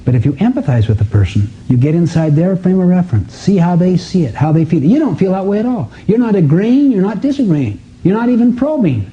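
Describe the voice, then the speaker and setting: A man's voice: high voice, big pitch swings, fast talker, hardly any pauses, mellow, muffled recording. A man giving a motivational seminar talk to a live audience, emphatic, with rhetorical questions and repetition. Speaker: seminar speaker.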